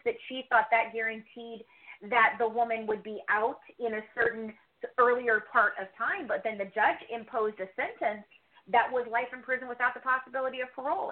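A woman podcaster answering interview questions in a talkative, opinionated way, circling back to repeat her points. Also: fairly high pitch. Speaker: true crime podcast co-host, interview guest. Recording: thin, phone-like line.

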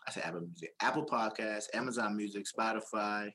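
A man's voice: on the low side, fairly quick, pushed and bright, slight background noise. A man co-hosting a podcast in casual chat, playful, trailing off with ums and restarting sentences. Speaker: podcast co-host.

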